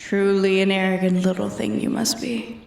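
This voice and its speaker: A woman's voice: medium pitch, steady tone, normal speed, creaky, a little mellow, natural voice. A woman playing an ancient, arrogant female dragon in a roleplay ASMR, haughty and taunting, often sinking toward a whisper. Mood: haughty, mocking. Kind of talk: roleplay ASMR, scripted dramatic monologue. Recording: echoey, distant from the mic.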